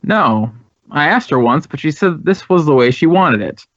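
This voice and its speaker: A man's voice: fairly high pitch, lively intonation, moderate pace, fairly bright tone, dry recording. An American man playing an earnest, talkative drama school head in a radio drama, speaking with emphatic, reflective phrasing. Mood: puzzled. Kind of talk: 1950s radio drama dialogue. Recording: dry, dull vintage radio recording.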